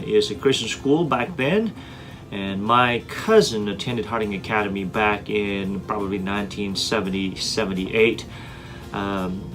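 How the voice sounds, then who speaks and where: A man in his forties from Indonesia gives a prepared self-introduction as a teacher, with a somewhat low voice. He speaks earnestly, often pausing on "uh" and repeating a word. Soft guitar music plays faintly underneath. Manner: earnest, with frequent "uh" fillers and repeated words.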